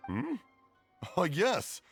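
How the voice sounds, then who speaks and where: A man voicing a gentle, reassuring middle-aged father in a video game, with a husky voice. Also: high voice, wildly swooping pitch, extremely slow, no echo, soft background music, exaggerated voice. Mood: gentle relief.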